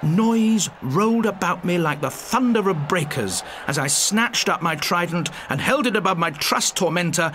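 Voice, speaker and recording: A man's voice: fairly high pitch, fairly bright tone, slight background noise. A man reading a first-person story aloud as an audiobook narrator, theatrical and full of suspense.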